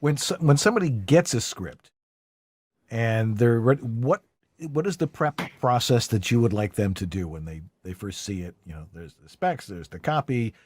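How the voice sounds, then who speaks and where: A man's voice: medium pitch, moderate pace, no echo, studio quality. A man co-hosting a voiceover podcast, chatting casually and putting questions to the guest. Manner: animated, asks the guest questions. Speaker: podcast co-host. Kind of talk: casual interview chat.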